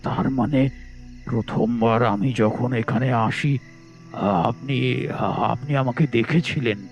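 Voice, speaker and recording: A man's voice: mid-range voice, fairly even intonation, soft background music. A man playing a stammering, bewildered writer. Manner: halting, stammering. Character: bewildered middle-aged male writer, timid. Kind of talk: Bengali audio story acting.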